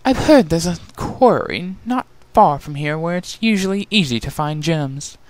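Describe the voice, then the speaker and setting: A man's voice: fairly high pitch, expressive, a little slow, no room sound, voice pushed up. A man voicing a haughty, confident changeling princess with a boastful, self-assured manner in a fantasy story reading.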